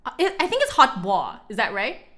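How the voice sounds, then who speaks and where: A woman's voice: mid-range voice, huge pitch swings, normal speed, bright, forceful tone. A woman livestream host reading an old text aloud dramatically, breaking off for informal, chatty asides to viewers.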